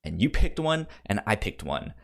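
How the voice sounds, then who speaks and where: A man's voice: on the low side, very animated, moderate pace, vocal fry. A man hosting a podcast in casual chat about movies, often stammering and repeating words as he gets into a sentence. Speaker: podcast host.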